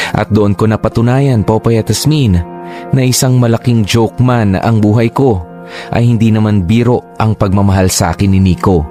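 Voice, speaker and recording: An earnest man narrates his story in a scripted radio drama, reflective and steady. His voice sits a little low, and he slips English phrases into his talk. Soft music plays faintly underneath.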